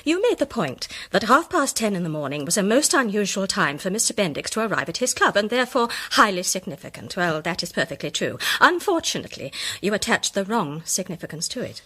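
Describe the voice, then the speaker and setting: A lady amateur detective in a radio drama. She is formal, incisive and self-possessed, at a medium pitch with lively rises and falls and a slightly husky tone. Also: a little fast, few pauses. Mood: assured, incisive. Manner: precise, assured, formal. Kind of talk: British radio drama acting.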